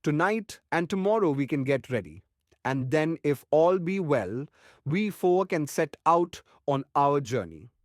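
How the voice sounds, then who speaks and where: Man acting a deliberate, higher-pitched earnest professor. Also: sing-song, no echo, clean studio sound. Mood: earnest, resolute. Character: older male professor, earnest vampire hunter with quaint phrasing. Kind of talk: dramatised audiobook acting.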